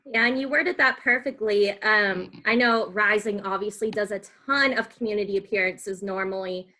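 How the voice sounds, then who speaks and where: A woman's voice: mid-range voice, moderate pace, bright, forceful tone. A woman hosting a casual sports podcast, asking questions in an informal, conversational way. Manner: conversational, informal, uses y'all. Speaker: podcast host and interviewer. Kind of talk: casual sports interview chat.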